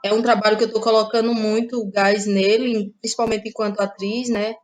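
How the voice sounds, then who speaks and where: A woman's voice: medium pitch, flat delivery, fairly quick. A woman of twenty-six with a Cearense accent from the Cariri, reflecting in a conversational interview in a searching, hesitant way. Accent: Cariri Cearense, northeastern Brazilian. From Cariri, Ceará, Brazil. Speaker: guest playwright and actress.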